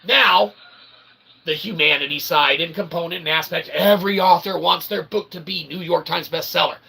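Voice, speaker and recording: A man's voice: a little high, moderate pace, vocal fry, slightly rough, poor audio. A man delivering a rambling, opinionated monologue as an author and channel host, wordy and emphatic, with sweary pseudo-philosophical phrasing. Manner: verbose pseudo-philosophical phrasing, sweary, repeatedly checking "okay?"; emphatic, bursts into shouting.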